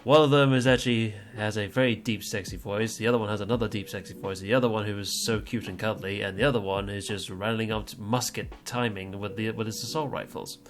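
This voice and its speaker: A man's voice: lowish voice, fairly even intonation, a little fast, hardly any pauses. Rambling man hosting a playful podcast. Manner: rambling, playful, teasing introductions. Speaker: podcast host. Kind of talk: casual livestream podcast chat.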